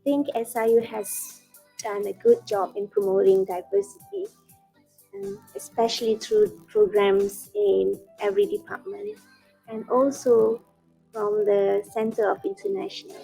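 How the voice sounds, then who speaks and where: A woman's voice: on the high side, big pitch swings, a little slow, gravelly, warm tone, faint music behind, poor audio. A young woman with a Malaysian accent giving an unscripted student testimonial. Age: young adult. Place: indoor lounge or office.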